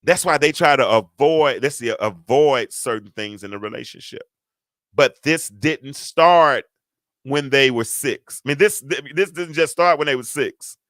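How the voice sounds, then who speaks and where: A middle-aged man with a deep, stentorious voice, hosting a talk show and telling a story to his audience. His tone is bright and forceful, and he leans on repetition and folksy asides for emphasis. The sound is dry and close. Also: measured pace, dry recording. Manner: emphatic, repeats words for effect, folksy asides.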